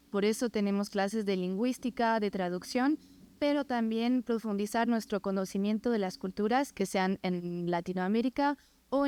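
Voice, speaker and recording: A woman's voice: on the high side, a little mellow, clean tone, no echo. A woman in her twenties from France with a French accent, a non-native speaker giving a prepared academic presentation as a student. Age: 20s. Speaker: master's student presenting research.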